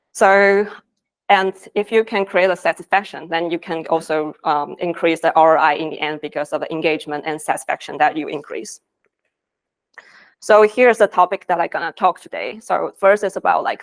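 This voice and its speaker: A woman from Taiwan, earnestly presenting at a conference in phrasing. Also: low voice. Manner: earnest, with non-native phrasing. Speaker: conference speaker, design lead. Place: conference stage.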